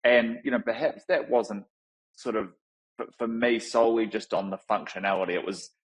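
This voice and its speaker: A middle-aged man with a New Zealand accent, a company founder answering interview questions in a thoughtful, relaxed way, with frequent fillers and restarts. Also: medium pitch, moderate pace. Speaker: founder and CEO, guest. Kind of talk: conversational interview.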